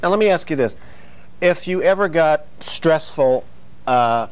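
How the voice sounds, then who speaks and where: A man's voice: fairly high pitch, lively intonation, unhurried, clear, resonant tone. A man hosting a mock-serious comedy interview, asking questions hesitantly and prompting his guest with words. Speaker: interviewer, host.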